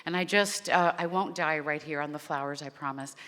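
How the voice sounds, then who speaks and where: A woman voice actor on a convention panel, talking in a rambling, heartfelt way with many restarts and a naturally low voice. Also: a little fast, fairly bright tone, in a large hall.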